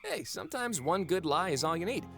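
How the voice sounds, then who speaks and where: A man's voice: sits high, sing-song, fairly quick, creaky, music in the background, full character voice. A man acting in an audio drama as a flippant, sardonic minor god who banters casually and wheedles. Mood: breezy, sardonic. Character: flippant, fast-talking minor trickster god, lately a frog.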